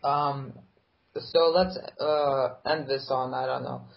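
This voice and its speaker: A man's voice: medium pitch, flat delivery, takes it slowly, creaky. A man joking and teasing his friends in a casual podcast chat. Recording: muffled lo-fi sound.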